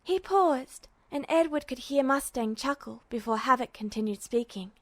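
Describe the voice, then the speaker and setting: A woman reading a fan fiction story aloud with steady narration. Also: high-pitched, moderate pace, no echo. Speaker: podfic reader.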